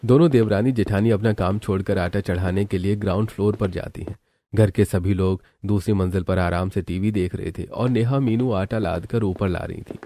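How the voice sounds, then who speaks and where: A man's voice: low voice, normal speed, mellow. A man narrating a scripted cartoon story as the storyteller.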